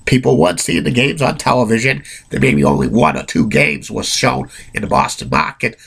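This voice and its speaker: A man's voice: medium pitch, animated, fairly quick, creaky, a bit bright, a little hiss or hum. A man with a cold telling sports history off the cuff, rambling with many restarts and blunt opinions. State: has a cold. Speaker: amateur sports history narrator. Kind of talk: unscripted solo narration.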